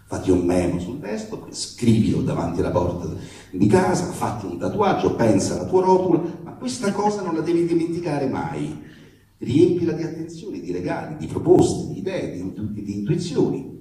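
Far from the microphone in a very reverberant room, a man performs a protective father with a very dark, mellow voice. He delivers a comic stage monologue to his daughter's boyfriend, halting and emphatic, tender one moment and sardonic the next. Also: mid-range voice, big pitch swings, a little hiss or hum, lightly acted. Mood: earnest, advising. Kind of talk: theatrical comic reading of a letter monologue. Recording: very echoey room, distant microphone.

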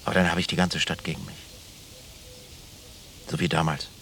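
An actor playing a deliberate, conflicted small-town lawman in radio play dialogue. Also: deep voice, flat delivery, fairly bright tone, slight background noise. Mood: brooding, torn.

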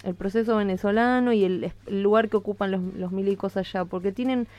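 An Argentine woman giving a conversational radio interview as a musician, explaining things in a reflective, halting way. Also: mid-range voice, steady tone, darkish tone, no room sound, soft background music. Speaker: interviewed hip-hop musician.

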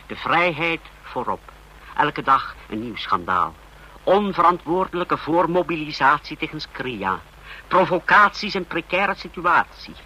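A man's voice: a little high, big pitch swings, unhurried, pushed and bright, heavily acted, rough recording. An actor playing a zealous but deferential intelligence chief in an old radio play, formal and emphatic in his reporting. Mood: eager, emphatic. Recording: old radio recording with hiss.

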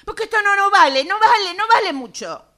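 A woman's voice: very high voice, big pitch swings, a little fast, a bit bright, exaggerated voice. A woman acting an emotional, dismissive partner in a radio drama exercise. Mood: agitated, dismissive.

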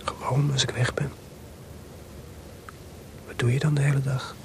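An actor playing a proud, bitter, jealous composer husband in a radio play, grumbling and sardonic in an intimate way. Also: male voice, medium pitch, flat delivery, unhurried, gravelly, darkish tone, slight background noise. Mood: jealous, subdued. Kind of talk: Dutch radio play acting.

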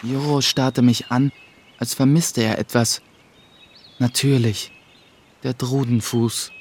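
An actor playing a young, guarded mill apprentice who narrates a radio play in a reflective, restrained way. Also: male voice, mid-range voice, fairly even intonation, takes it slowly, a little hiss or hum, close to an everyday voice. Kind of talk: scripted first-person narration in a German radio play. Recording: music behind the voice.

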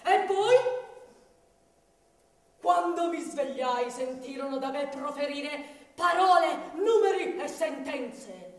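A woman's voice: high voice, expressive, takes it slowly, long pauses, exaggerated voice. A woman playing a pompous, imperious master who thinks himself learned, declaiming in a stage comedy. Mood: grandiose. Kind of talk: commedia dell'arte stage comedy. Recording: echoey hall, distant microphone.

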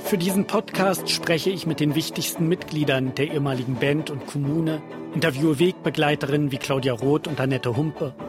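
A man's voice: fairly high pitch, moderate pace. A man hosting a podcast, reading scripted first-person documentary narration. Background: guitar music.

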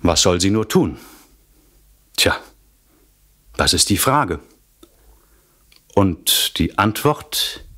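Male narrator, standard German, low register, measured theatrical delivery for a scripted suspense radio serial. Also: big pitch swings, fairly bright tone.

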